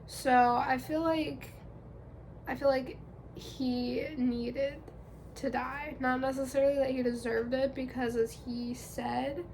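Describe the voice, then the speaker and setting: Congested young woman vlogger, high, unhurried, casual rambling chat. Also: level tone, a little mellow, slight background noise. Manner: chatty, rambling with fillers. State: congested from a cold.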